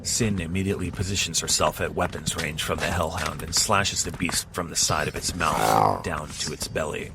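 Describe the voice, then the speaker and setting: A man with a very deep voice narrates an action story in steady, measured tones. Clear background noise sits under his voice. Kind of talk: scripted second-person narration.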